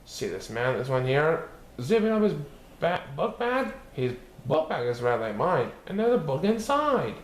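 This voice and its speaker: Animated man reading in a roomy echo. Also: a little high, measured pace. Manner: expressive, sing-song, plays with repetition. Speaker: storyteller, book reader. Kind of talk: animated picture-book read-aloud. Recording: roomy echo with faint background noise.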